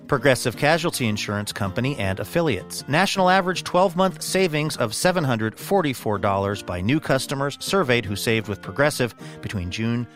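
A man's voice: mid-range voice, a bit bright. A man with an American accent and a slightly scratchy voice, hosting a radio show in a casual, conversational way. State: slightly scratchy voice, under the weather. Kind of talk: scripted radio intro, casual banter.

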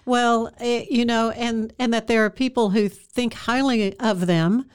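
A woman's voice: fairly high pitch, fairly even intonation, a little slow, smooth. A woman hosting an interview, asking questions in a chatty, rambling way with frequent restarts. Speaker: interview host. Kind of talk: casual interview chat.

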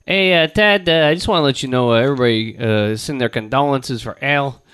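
A man putting on a Chicago accent to play a halting, folksy fellow from Chicago offering condolences. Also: medium pitch, fairly bright tone, smooth, natural voice. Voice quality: halting, full of uhs.

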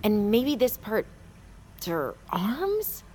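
A woman's voice: mid-range voice, very animated, very slow, heavy background noise. A woman playing a chatty, determined young woman in an audio drama, casual and insistent as she talks. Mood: curious, wry. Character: chatty, determined young woman looking after her friend.

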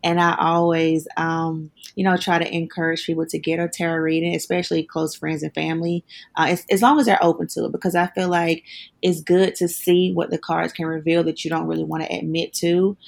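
A woman's voice: deep voice, creaky. A woman from South Carolina with a Southern accent, chatting casually as a guest about her tarot work, with lots of "like" and "you know". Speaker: tarot reader and Reiki healer, guest. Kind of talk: casual podcast chat.

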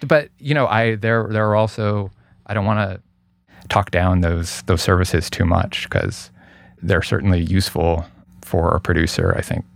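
A man with a low voice full of vocal fry, recorded dry and close. He talks casually and thoughtfully as a guest, pausing and rephrasing as he hedges his way through an answer. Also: expressive, no echo. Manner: thoughtful, hedging, halting delivery. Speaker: guest, radio producer. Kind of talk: casual interview conversation.

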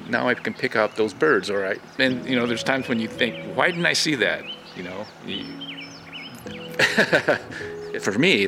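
Gravelly low-voiced farmer, outdoors with music. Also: male voice. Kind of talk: casual reflective interview talk.

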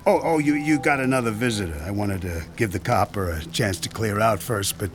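A man's voice: on the low side, brisk, close to an everyday voice. An actor playing a dim-witted young mob henchman who stammers and fumbles his words in an audio drama. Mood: dopey. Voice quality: stammering, thick-headed. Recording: music underneath.

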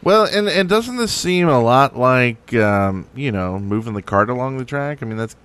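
A man co-hosts a casual podcast. His voice is average in pitch, and he moves between conversational talk and reading listener mail aloud. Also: lively intonation, moderate pace, a bit bright, clear, resonant tone. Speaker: podcast host.

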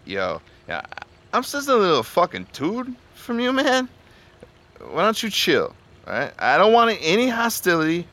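An actor playing a laid-back, evasive young party drug dealer who talks in stoner slang, in a naturalistic audio drama. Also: male voice, sits high, wildly swooping pitch, moderate pace, pushed and bright, exaggerated voice. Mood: defensive, chummy. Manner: slangy stoner talk, peppered with bro and man. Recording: faint ambient background noise.

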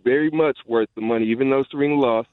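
A middle-aged man calling a radio show, recalling casually in halting, hesitant phrases. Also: medium pitch, no room sound. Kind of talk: casual recollection. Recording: tinny narrowband phone line.

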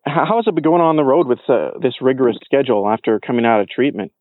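A man with a mid-pitched voice works as an interviewer. He asks prepared questions politely, and the sound is very dry and close to studio-clean. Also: quick pace, no echo, phone-quality sound. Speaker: interviewer, show host.

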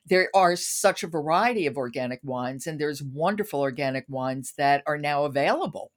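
A woman's voice: sits low, normal speed. A woman hosting a podcast about books, moving between conversation and announcements. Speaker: podcast host. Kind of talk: conversational book review chat and announcing.

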